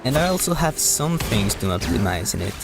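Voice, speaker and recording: A man casually commentating a live game stream, explaining things in a hesitant, halting, rambling way. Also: mid-range voice, big pitch swings, gravelly. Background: music.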